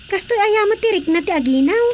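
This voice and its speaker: A woman's voice: very high voice, lively intonation, brisk, darkish tone. A woman playing a fretful, evasive young daughter in an Ilocano radio drama, with faint noise behind her. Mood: worried.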